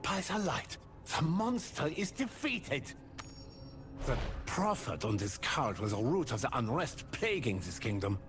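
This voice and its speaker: An actor playing a pompous, self-serving king, haughty and quick to anger, in theatrical video game drama. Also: medium pitch, very animated. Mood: pompous relief. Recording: music behind the voice.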